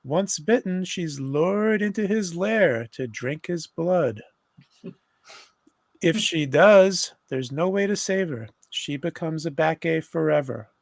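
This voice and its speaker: Man reading as earnest young woman sidekick, high-voiced. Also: lively intonation, takes it slowly, gravelly, dry recording, heavily acted. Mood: ominous storytelling. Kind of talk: live comedic table read of a TV script.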